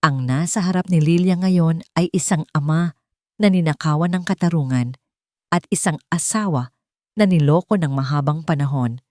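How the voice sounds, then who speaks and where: Woman storyteller, lowish voice, suspenseful dramatic narration, dry close recording. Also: moderate pace, warm tone, no echo.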